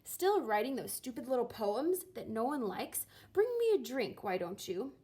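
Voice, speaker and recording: A woman's voice: fairly high pitch, very animated, normal speed, warm tone. A woman voicing an arrogant, bossy male rival from a storybook, with a mocking, condescending sneer. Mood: mocking, scornful.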